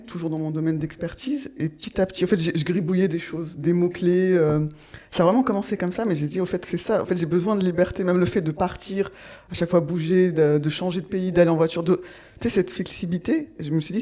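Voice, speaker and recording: Thirties woman from paris, guest on a podcast, warm mellow voice, thinks out loud w lots of fillers. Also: on the low side. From Paris, France. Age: 30s. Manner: reflective, hesitant with many fillers. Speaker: podcast guest, nurse and entrepreneur. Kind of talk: casual interview chat.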